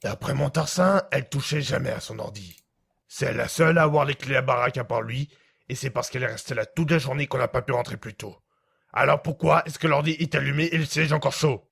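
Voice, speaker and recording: A man playing an aggressive, suspicious gangster in a French audio drama, coarse, slangy and quick to threaten. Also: medium pitch, gravelly, a bit bright, slightly rough. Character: aggressive male gangster thug, suspicious and short-tempered.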